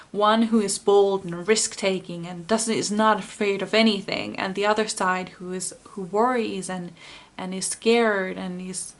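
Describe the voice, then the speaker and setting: A woman from Finland with a Finnish accent, doing a pick-a-card tarot reading in English, her second language, in a soft, earnest way, often stopping to rephrase. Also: mid-range voice. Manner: slow, searching, rephrases often.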